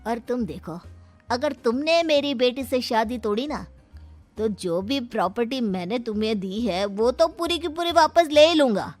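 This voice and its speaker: A woman's voice: fairly high pitch, animated, normal speed, soft background music, lightly acted. A woman voicing a sly, taunting middle-aged father in a cartoon story. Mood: smug. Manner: taunting, smug. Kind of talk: cartoon voice acting.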